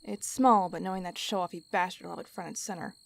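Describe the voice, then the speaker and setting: A woman's voice: on the low side, steady tone, fast, creaky. A woman acting in an audio drama as a tough heist crew leader who is curt, commanding and sardonic.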